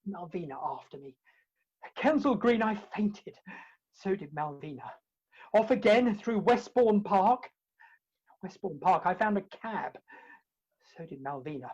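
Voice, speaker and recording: Breathless, frantic gentleman, played by a woman. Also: mid-range voice, lively intonation, unhurried, long pauses, slightly rough, dull audio. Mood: breathless, exhausted. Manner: clipped, breathless list-like storytelling. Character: frantic, exhausted gentleman Fogarty, fleeing a pursuing woman. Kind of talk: comic stage farce acting.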